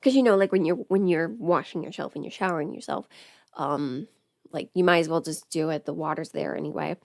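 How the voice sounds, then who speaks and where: A woman's voice: deep voice, a little fast, vocal fry, a little mellow, no room sound, clean studio sound. A woman livestreamer chatting casually while getting ready, rambling and interrupting herself as she thinks aloud.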